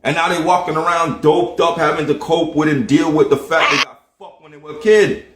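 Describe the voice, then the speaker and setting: A man's voice: fairly high pitch, a little fast, fairly bright tone. A man from Jamaica, delivering a heated, profane monologue on a livestream and firing off rhetorical questions. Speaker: livestream host. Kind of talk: ranting monologue. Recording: roomy echo.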